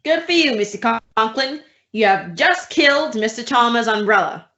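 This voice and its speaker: A woman plays a wry radio-comedy schoolteacher. The voice sits fairly high, with dry, wisecracking timing. The recording is roomy and somewhat dull. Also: lively intonation, moderate pace, a bit bright. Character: wry, quick-witted female schoolteacher. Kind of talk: old-time radio comedy acting and narration.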